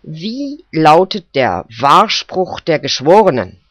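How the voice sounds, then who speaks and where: A narrator voicing a smug male kangaroo judge who hands down loud, pompous rulings. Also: mid-range voice, sing-song, takes it slowly, no echo, heavily acted. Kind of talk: storybook character voice. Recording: dull, dry recording.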